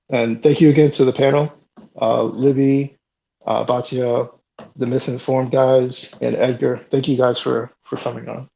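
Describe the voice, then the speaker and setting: Gravelly-voiced sick male host. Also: mid-range voice. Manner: relaxed, hesitant, many ums and restarts. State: sick, voice deeper than usual. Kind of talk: casual closing remarks on a live audio chat. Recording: slightly dull lo-fi online audio.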